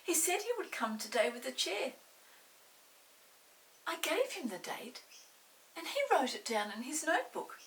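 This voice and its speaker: A woman's voice: high voice, sing-song, measured pace, long pauses, fairly bright tone, voice pushed up, full character voice. A woman voicing a keen, polite schoolboy in a children's storybook, earnest and emphatic. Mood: dismayed. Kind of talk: children's storybook character reading. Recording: distant mic with faint hiss.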